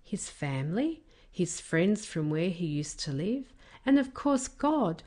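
Woman reading as patient storybook dad, unhurried. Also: fairly low pitch, exaggerated voice. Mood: patient, explaining. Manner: explaining calmly. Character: patient, reassuring father of a young boy. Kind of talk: children's storybook character voice.

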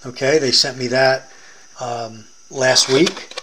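A man's voice: medium pitch, fairly even intonation, slow pace, background noise. An American man demonstrating a product in a casual hands-on tutorial, explaining informally step by step.

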